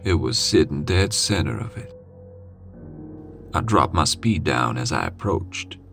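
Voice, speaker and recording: A man reading a scary first-person story in a dramatic, suspenseful way with deliberate pauses. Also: very deep voice, lively intonation, vocal fry, a bit bright. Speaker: horror story narrator. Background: music.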